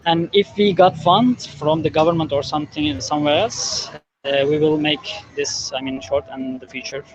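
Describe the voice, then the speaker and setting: A man, a filmmaker from Turkey of roots, speaking slow, halting English on a panel, often pausing to find words. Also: a little high, fairly even intonation, muffled recording, a little hiss or hum. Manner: halting, searching for words, many "I mean"s. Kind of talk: panel discussion.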